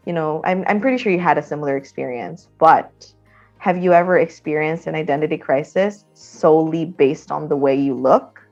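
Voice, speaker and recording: A woman's voice: low-pitched, moderate pace, a little mellow, highs cut off, soft background music. A woman in her twenties hosting a podcast from her room, talking casually and conversationally with many fillers. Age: 20s. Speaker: podcast host. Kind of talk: casual interview. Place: her own room, at home.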